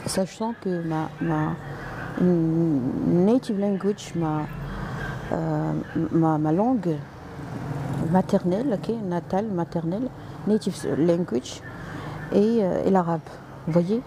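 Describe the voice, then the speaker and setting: A woman's voice: lowish voice, takes it slowly, pauses often, background noise. A woman doctor answering viewers' comments on a live stream, polite but direct, with frequent hesitations and restarts, slipping in English and Arabic words.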